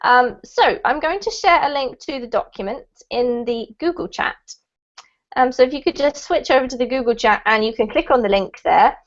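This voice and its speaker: A young woman with a high, bright-toned voice runs an online English class, upbeat and encouraging. She says "um" often. The sound is dull and lo-fi, as over a video call. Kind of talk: friendly online class teaching. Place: indoor room, video call. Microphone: headset headphones on a video call.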